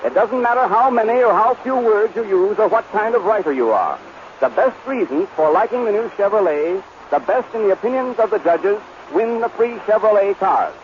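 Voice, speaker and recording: A muffled old radio recording with faint noise features an American man with a high voice. He speaks as a 1930s announcer, introducing the show and delivering a scripted sponsor pitch. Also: lively intonation, normal speed.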